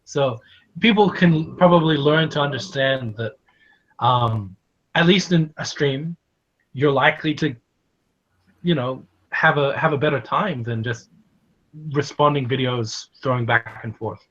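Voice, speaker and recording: A man's voice: medium pitch, unhurried, a bit bright. An Australian man with a slight American tinge to his accent, a guest talking casually on a stream, full of fillers and restarts. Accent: Australian, a bit of American. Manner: hesitant, many restarts and fillers. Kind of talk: casual online stream chat. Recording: dull lo-fi stream audio.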